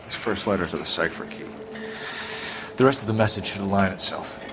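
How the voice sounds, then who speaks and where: An actor playing a calm, methodical detective in a video game cutscene, speaking in a level, steady way. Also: male voice, low-pitched, fairly quick, music in the background, low-quality recording.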